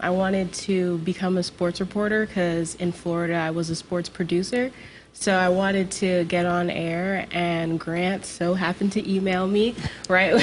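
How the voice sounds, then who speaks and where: A young woman from Boston answers studio questions as a new sports reporter. Her tone is friendly and she laughs easily, with faint noise in the background. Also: on the low side. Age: young adult. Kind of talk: casual live studio interview.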